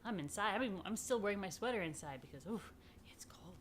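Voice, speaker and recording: A woman reading a picture book aloud to children, expressively and unhurriedly, with dramatic pauses and chatty asides. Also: mid-range voice, animated, slight background noise. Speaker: rabbi reading a children's story. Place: indoors.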